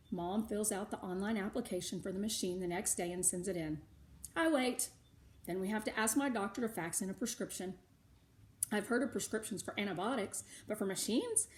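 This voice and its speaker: A woman with an American accent reading a storybook aloud for schoolchildren in an animated, emphatic way. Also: mid-range voice. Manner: animated, emphatic, with occasional asides. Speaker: read-aloud narrator for schoolchildren. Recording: roomy, echoing indoor sound.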